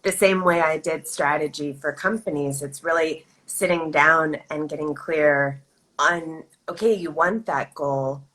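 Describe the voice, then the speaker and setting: A woman hosting a live video chat, thoughtful and measured, asking her guest questions. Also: low-pitched, a bit bright, low-quality recording. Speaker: host. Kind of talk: casual live-stream interview.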